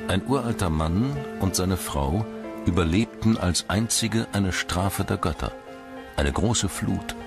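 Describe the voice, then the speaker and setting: Very deep-voiced man narrating a documentary over music. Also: animated, takes it slowly, vocal fry. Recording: music bed behind the voice.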